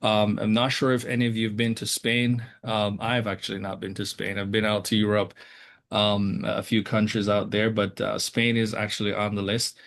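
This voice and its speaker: A man hosting an interview, speaking haltingly with many fillers and repeated words. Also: on the low side, level tone, fairly bright tone. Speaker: host. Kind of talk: casual interview.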